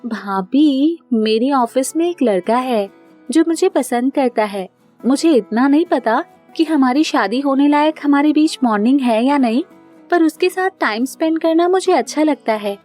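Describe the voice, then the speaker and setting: Woman voicing high, earnest young woman in love. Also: lively intonation, moderate pace, darkish tone, faint music behind. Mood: shy, hopeful. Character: earnest, anxious young woman in love, pleading sister-in-law. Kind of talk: cartoon family drama storytelling.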